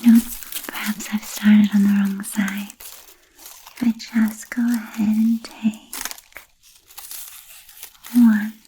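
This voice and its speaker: A woman voicing a vain, seductive female vampire in a gentle, coaxing, breathy whisper for an ASMR roleplay. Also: mid-range voice, little pitch movement, slight background noise, lightly acted, low-quality recording. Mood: coaxing, playfully puzzled. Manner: slow, gentle, coaxing, with lingering pauses.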